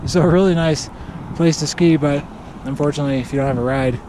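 A man's voice: on the high side, fast talker. A man skiing alone in the backcountry, talking his situation through to his own camera in a hesitant, self-critical way, laughing nervously now and then. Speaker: backcountry skier filming himself. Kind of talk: thinking aloud to camera. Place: snowy mountain forest outdoors. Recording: outdoor wind and camera noise.

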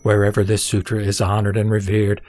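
A man's voice: on the low side, completely flat delivery, a little fast, a little mellow, soft background music, close to an everyday voice. A man playing the Buddha in a scripture audio drama, a serene teacher speaking formally and instructively. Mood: solemn questioning. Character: the Buddha, serene authoritative male teacher addressing his disciple.

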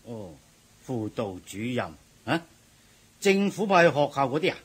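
An actor playing a loud, bossy middle-aged supermarket manager with a husky voice in a radio drama. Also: male voice, mid-range voice, sing-song, moderate pace. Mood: explaining. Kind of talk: 1980s radio drama acting.

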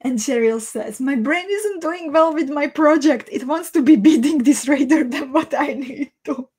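A woman in her thirties with a Hungarian accent, a native Hungarian speaker, chatting casually and haltingly as she hosts a livestream. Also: sits high, a little mellow. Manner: halting, thinking aloud. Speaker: host of a live beading tutorial. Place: small indoor craft room.

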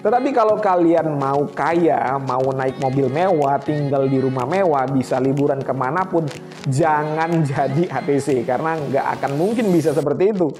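Man in his thirties, slightly high voice, conversational explainer, rhetorical questions, light music behind. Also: moderate pace. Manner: conversational, addresses viewers as friends with rhetorical questions. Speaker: air traffic controller and channel host. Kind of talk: explanatory talk to camera. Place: small indoor room, studio-like set. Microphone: clip-on lapel mic.

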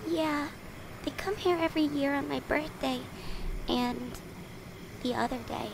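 A woman's voice: high voice, measured pace, gravelly, slight background noise, low-quality recording. An actress playing a sad, lonely little girl ghost in a radio play.

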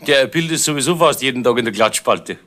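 An actor playing an apologetic assistant detective in a 1970s radio play, hasty and deferential. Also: male voice, medium pitch, animated, brisk, fairly bright tone, close to an everyday voice. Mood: eager.

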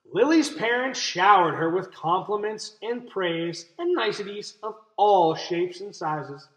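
A young man reading a children's picture book aloud as a teacher, expressively, pausing to explain and ask questions. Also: high-pitched, a bit bright. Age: young adult. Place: small indoor room. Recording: roomy, echoey room sound.

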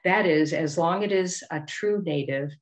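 Female presenter, middle-aged, Boston accent, lowish voice, measured scripted delivery for an educational slide talk on video call. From Boston area, United States. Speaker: master gardener giving a presentation.

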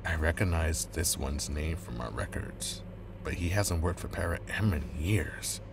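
A man's voice: a bass voice, expressive, measured pace, creaky, noisy background, exaggerated voice. A man playing a ruthless, coldly calculating corporate agent in an audio drama, controlled and menacing. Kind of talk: dramatic audio roleplay acting.

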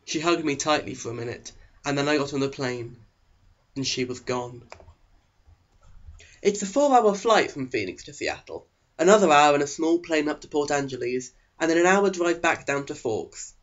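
A fairly high-voiced man reads a novel aloud as scripted narration. His delivery is sarcastic and melodramatic, and the recording sounds slightly dull. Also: animated, normal speed, a bit bright. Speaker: audiobook reader.

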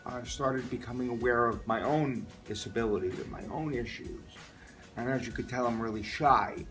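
A middle-aged man with an American accent gives casual interview answers, haltingly, repeating words as he thinks. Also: medium pitch, a bit bright. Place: classroom or meeting room. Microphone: phone camera a few metres away. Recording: distant phone recording with music over it.

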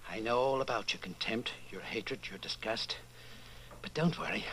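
Hushed conspirator over noise. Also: male voice, lowish voice, normal speed, rough recording. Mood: hushed, confiding. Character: conspiratorial powerful man, secretly confiding reassurance. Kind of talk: old-time radio dramatization.

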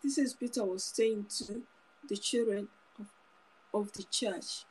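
A woman's voice: medium pitch, unhurried, darkish tone, a little hiss or hum, poor audio. A woman in her thirties giving an informal, earnest sermon to her phone camera in a small room, often repeating and restarting phrases. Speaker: preacher. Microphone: phone camera close up.

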